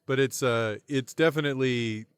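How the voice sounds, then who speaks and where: A man co-hosting a comedy podcast who teases his co-host with dry, deadpan corrections. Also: medium pitch, normal speed, smooth, dry recording. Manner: wry, teasing, deadpan corrections. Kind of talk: casual comedic chat.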